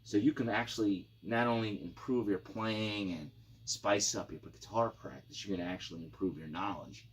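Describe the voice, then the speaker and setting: A man's voice: fairly low pitch, normal speed, breathy edge. A man reviewing guitar gear in a casual, informal and rambling product demo style with some enthusiasm.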